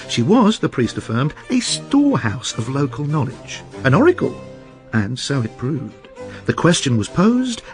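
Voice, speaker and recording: An actor narrating a literary radio drama as a wry, worldly storyteller. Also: male voice, mid-range voice.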